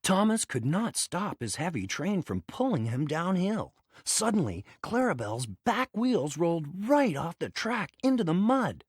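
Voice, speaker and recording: Very animated male storybook narrator. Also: high voice, measured pace, few pauses, breathy edge, no room sound, studio quality. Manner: dramatic, expressive. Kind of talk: scripted children's read-along narration.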